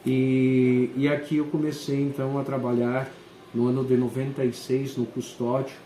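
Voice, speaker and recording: Principal, 40s, warm mellow tone, halting delivery, roomy echo. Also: male voice, medium pitch, flat delivery, a little hiss or hum. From southern Brazil. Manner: hesitant, pausing often to search for words. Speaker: school principal. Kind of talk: answering interview questions. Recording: echoey room.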